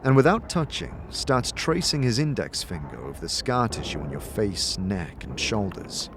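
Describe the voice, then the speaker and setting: A man narrating a fantasy audio drama in the second person, with measured, theatrical storytelling. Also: lowish voice, sing-song, background noise. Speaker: narrator, game master. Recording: music bed behind the voice. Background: music.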